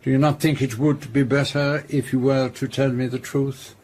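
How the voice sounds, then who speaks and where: A man playing a shrewd, courteous in a radio drama, speaking formal, precise in a measured way. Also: mid-range voice, level tone, a little mellow, slightly rough, close to an everyday voice. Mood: probing, stern. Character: shrewd, courteous male detective, calmly probing.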